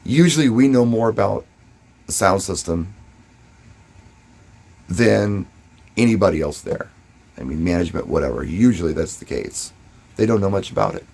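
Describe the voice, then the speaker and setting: Low, husky-voiced man chatting casually. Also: a little mellow. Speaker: DJ and vlogger. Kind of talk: casual first-person advice and storytelling to camera.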